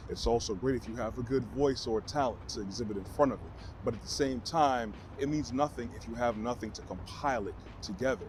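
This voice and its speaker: A man from Brooklyn with a New York accent and a deep baritone voice, answering questions to camera in an earnest, motivational way. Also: background noise. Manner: earnest, motivational, measured phrasing. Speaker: vlogger.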